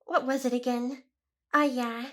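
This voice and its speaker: A woman's voice: high-pitched, steady tone, a little slow. A woman playing a smug, seductive, possessive demon boss in an ASMR roleplay, with a teasing, theatrical delivery.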